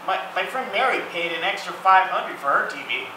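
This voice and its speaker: A man playing an incredulous, needling buddy in a staged sitcom script reading, excitable and teasing. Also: sits high, brisk. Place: theatre stage, seated, distant camera mic. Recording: echoey stage, faint noise.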